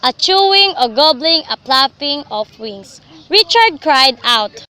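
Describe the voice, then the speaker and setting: Young woman reading story narration for a radio play, high bright voice. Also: lively intonation, takes it slowly.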